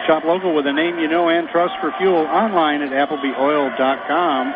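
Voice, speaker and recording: A man with a Michigan accent reads local sponsor spots live during a high school sports broadcast, in a smooth, even delivery. His voice is slightly high. It reaches the listener as tinny phone-line audio with noticeable hiss. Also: normal speed. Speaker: color commentator. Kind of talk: reading live radio ads.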